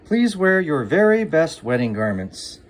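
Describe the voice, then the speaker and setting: A man's voice: a little high, sing-song, unhurried, voice pushed up. A man voicing an earnest, formal royal servant from a storybook, pleading with guests. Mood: reassuring, formal.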